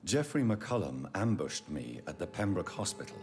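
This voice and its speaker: A man's voice: sits low, very animated, moderate pace, darkish tone. An actor playing a brooding male vampire doctor in a video game, grave and menacing when pushed. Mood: guarded, grave. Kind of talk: dramatic video game acting. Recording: dramatic music behind.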